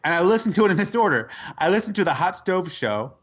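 Male co-host, casual sports chat, animated and laughing, a touch high. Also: fast talker, lo-fi sound. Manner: animated, incredulous, laughing storyteller. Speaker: podcast co-host.

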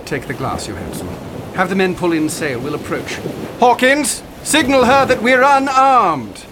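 An actor playing a resolute sea captain in a radio drama, giving terse orders. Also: male voice, high-pitched, sing-song, measured pace. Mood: firm. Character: commanding, resolute sea captain of a sailing ship. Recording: clear sea storm and crew noise behind.

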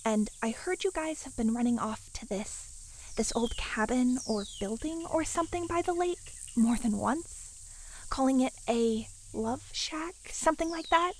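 A woman acting a falsely gentle, high-voiced camp leader. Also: animated, a little hiss or hum. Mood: controlled, probing. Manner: soft, measured, pointed, falsely gentle. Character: controlled, sweetly condescending woman camp leader confronting a girl. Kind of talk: horror audio drama acting.

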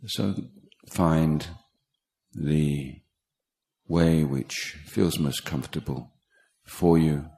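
A man teaching guided meditation, speaking in a halting, measured way with pauses between short phrases. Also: rumbling low, gravelly, warm tone. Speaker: meditation teacher, Buddhist monk. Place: meditation retreat talk in Thailand.

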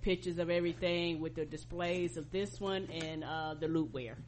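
A woman's voice: low voice, steady tone, normal speed, a little mellow, slight background noise. An American woman co-hosting a podcast in casual chat, chiming in agreeably. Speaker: podcast co-host.

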